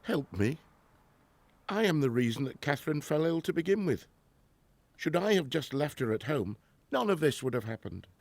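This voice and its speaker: A man acting in a radio drama as a middle-aged 1920s professor and grieving father, formal and earnest, halting when troubled. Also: fairly high pitch, big pitch swings, dry recording. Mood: bitter, angry.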